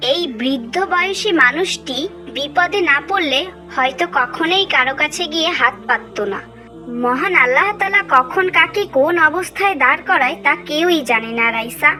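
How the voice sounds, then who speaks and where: Woman voicing high, bright, preachy cartoon woman. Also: rough recording. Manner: earnest, preachy. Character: gentle, devout woman who moralizes and admonishes. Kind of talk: scripted cartoon dialogue.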